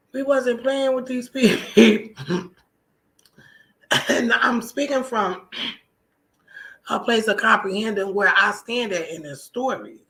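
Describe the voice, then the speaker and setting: Casting: American female vlogger, bright and punchy voice, emphatic colloquial delivery, conversational solo talk. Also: fairly high pitch, rough recording. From United States. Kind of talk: casual personal vlog monologue.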